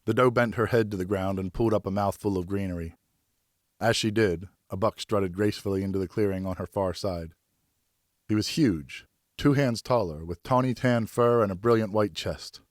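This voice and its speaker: Male narrator for fiction: lowish pitch, measured dramatic storytelling, dry studio-style sound. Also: dry recording. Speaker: audiobook narrator.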